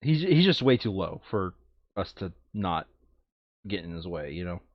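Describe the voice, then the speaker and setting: Low-voiced man thinking aloud, muffled sound. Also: very animated, moderate pace, no room sound. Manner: thinking aloud, muttering counts. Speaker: tabletop board game player. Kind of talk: casual chat during board game play.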